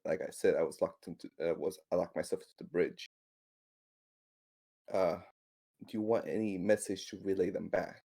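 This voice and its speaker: A man playing a tabletop game, chatting casually and haltingly, often repeating his words as he works out what to say. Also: deep voice, creaky, mellow, breathy edge.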